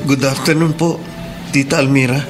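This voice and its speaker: A man's voice: medium pitch, fairly even intonation, normal speed, music in the background, natural voice. A man playing a respectful young police cadet and concerned nephew in a radio drama, polite and deferential. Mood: courteous. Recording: noisy radio drama with ambience.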